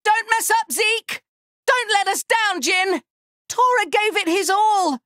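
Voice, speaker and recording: A man's voice: very high-pitched, takes it slowly, pushed and bright, dry recording, clean studio sound, exaggerated voice. A man voicing a small furry creature from a video game, eager, boastful and childlike, speaking in cutesy, exclamatory broken phrases. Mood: encouraging. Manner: cutesy broken grammar, third-person self-reference, exclamatory. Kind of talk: video game battle voice lines.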